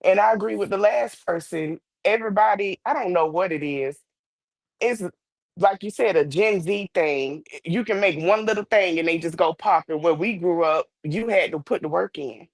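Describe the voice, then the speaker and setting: A woman caller chats in an agreeable, relaxed way. Her voice has a gravelly fry and sounds close and dry. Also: on the low side, normal speed, no room sound. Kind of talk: casual chat.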